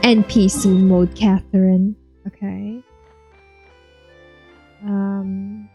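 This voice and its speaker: A woman's voice: mid-range voice, little pitch movement, drawn-out words, warm tone, faint music behind. A woman giving casual, blunt and slangy reaction commentary as she plays a video game. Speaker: streamer playing a video game.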